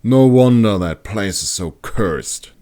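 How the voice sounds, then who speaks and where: A man's voice: lowish voice, expressive, slow, warm tone. A man playing a wary mercenary in an audiobook, blunt and given to grumbling and lamenting.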